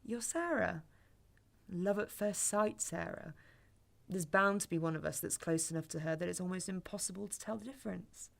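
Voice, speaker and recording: A woman's voice: on the low side, moderate pace, creaky. A young woman playing a blunt, guarded sceptic on a first date in a naturalistic sci-fi audio drama, dry and matter-of-fact. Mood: placating.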